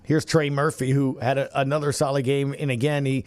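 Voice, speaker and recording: A man's voice: mid-range voice, little pitch movement, fairly quick, a little mellow. A man hosting an upbeat sports podcast, talking conversationally in punchy phrases and tossing out questions. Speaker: podcast host.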